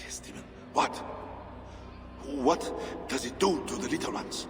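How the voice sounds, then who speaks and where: A man's voice: medium pitch, unhurried, lightly acted. An actor playing a tormented, fearful medieval king in a video game, agitated and half mad. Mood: anguished, fearful. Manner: agitated, wildly swinging delivery. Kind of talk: dramatic video game acting. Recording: reverberant hall with music behind.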